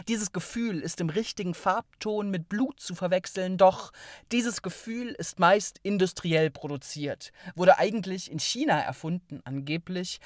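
A poet reading their own literary work in a measured, deliberate manner with pauses between phrases. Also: medium pitch, no room sound, clean studio sound. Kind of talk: literary poetry reading, spoken word.

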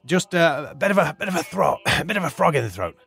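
An actor playing a nervous teacher who fakes a posh British accent, stammering and overly polite. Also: male voice, fairly high pitch, sing-song, fast talker, vocal fry, a bit bright, heavily acted. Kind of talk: audio drama acting.

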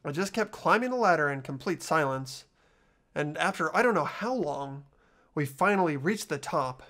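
Man playing an earnest teenage boy, high lively voice. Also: normal speed. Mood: subdued, reflective. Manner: hesitant, earnest delivery. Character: earnest, sympathetic, unsure teenage schoolboy. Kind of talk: amateur video game voice acting. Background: background music.

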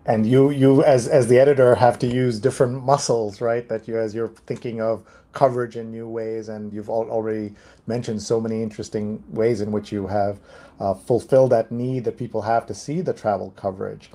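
A man's voice: fairly low pitch, little pitch movement, fairly quick, warm tone. A middle-aged man hosting a live online interview show in a conversational style, speaking on a video call. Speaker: host, interviewer. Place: room with bookshelves.

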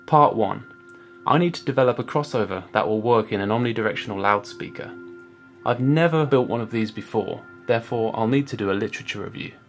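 A man's voice: on the low side, a little fast, soft background music. A man in his thirties giving a scripted explainer to camera as a student presenting his project. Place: small indoor room at home.

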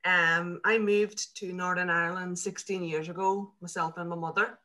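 A woman's voice: on the low side, steady tone, normal speed, bright, forceful tone. A woman in her thirties from Slovakia, a native Hungarian speaker, introducing herself in a friendly way from her living room on a video call. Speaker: panelist. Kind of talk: panel self-introduction. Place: home living room, indoors.

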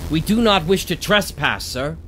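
An actor playing a polite, earnest and bewildered adventure hero in an audio drama. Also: male voice, fairly high pitch, animated, normal speed, background noise, lightly acted.

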